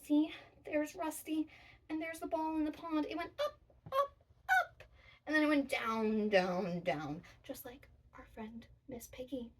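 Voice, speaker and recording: A woman's voice: sits high, lively intonation, a little slow, recorded from a distance. A woman presenting a children's storytime, explaining activities and reading picture books in a sing-song, emphatic way.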